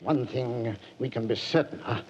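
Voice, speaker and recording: An actor playing an anguished, honour-bound general, middle-aged, halting and emotional, in 1940s radio style. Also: male voice, mid-range voice, husky, lightly acted. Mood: anguished, bitter. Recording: dull, noisy old radio recording.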